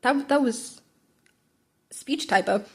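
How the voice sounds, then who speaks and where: Higher-voiced woman reading a story aloud. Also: takes it slowly. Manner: informal, stumbles and rereads lines. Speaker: amateur fan fiction audiobook reader.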